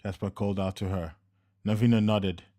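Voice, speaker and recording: A man narrating an audiobook in an even, steady storytelling manner. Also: on the low side, fairly quick.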